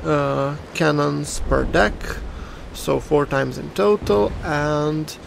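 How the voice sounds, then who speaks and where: A man with a non-native English accent narrating his naval battle gameplay, slow and hesitant with many fillers. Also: fairly high pitch, gravelly, background noise. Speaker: gameplay commentator.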